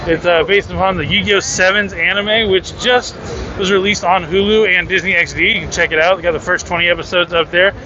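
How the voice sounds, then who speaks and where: A man's voice: high voice, steady tone, fairly quick. A young man giving an upbeat walking tour of a convention booth, talking into a handheld mic with many fillers. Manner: upbeat and promotional, frequent fillers while walking. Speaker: booth guide for a card game company. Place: busy convention hall indoors. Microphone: handheld mic with windscreen. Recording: dull audio with loud crowd noise.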